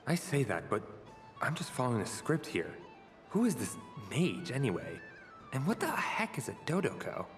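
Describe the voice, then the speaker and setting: 语调起伏大的游乐设施小哥. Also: male voice, mid-range voice, huge pitch swings, normal speed, soft background music. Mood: baffled. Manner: showy announcer patter slipping into casual bewilderment. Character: chirpy young male fairground ride attendant reciting script. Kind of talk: video game story dialogue.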